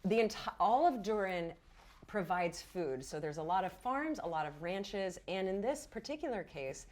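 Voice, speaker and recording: A woman's voice: medium pitch, lively intonation, moderate pace, a little mellow. A woman game master narrating a fantasy tabletop roleplaying game in a playful, theatrical storytelling manner. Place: indoor studio set.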